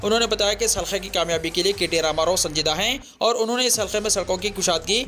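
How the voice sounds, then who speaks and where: A man's voice: high voice, fast talker, sharp tone, soft background music. A man reads a scripted news bulletin in a formal, declarative way. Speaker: news reader.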